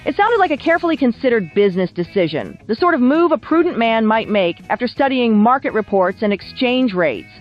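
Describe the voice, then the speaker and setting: A woman narrates a scripted documentary in a fairly high voice with lively, swooping intonation. She tells it like a wry storyteller. The audio is a little dull and band-limited. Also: fairly quick, hardly any pauses. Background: background music.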